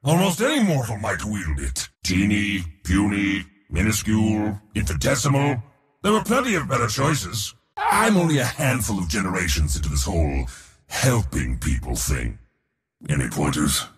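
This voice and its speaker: A man playing an arrogant, boisterous war god in a video game, speaking in a bombastic, boastful way with a gravelly, raspy voice. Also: fairly low pitch, very animated, normal speed, in a large hall, heavily acted. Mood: disdainful, wry. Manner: bombastic, boastful, theatrical delivery. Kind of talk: video game hero voice lines.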